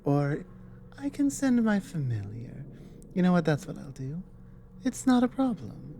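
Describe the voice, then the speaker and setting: A woman acts out an earnest dragon woman in an intimate ASMR audio drama. Her voice is low and mellow, and she advises gently and very slowly, pausing often as she chooses her words. A faint noise sits behind her. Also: sing-song, creaky, exaggerated voice. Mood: hesitant, concerned. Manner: soft, hesitant, deliberate, with long pauses. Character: earnest dragon woman, careful war advisor and tender lover.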